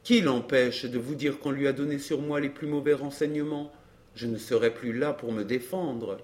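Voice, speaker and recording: A man's voice: mid-range voice, fairly even intonation, normal speed, a little mellow, close to an everyday voice. A man acting a suave, scheming suitor in a dramatised audiobook, with formal, theatrical and impassioned delivery. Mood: earnest pleading. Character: Georges, suave scheming suitor, pleading then violent.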